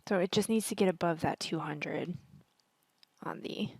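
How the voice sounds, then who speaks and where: A woman with a husky, partly hoarse voice, chatting casually and thinking aloud as she hosts a stock market screen-share commentary. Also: lowish voice, moderate pace, no room sound. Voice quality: husky, worn voice. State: partly hoarse from singing at a concert. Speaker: stock trading educator, video host.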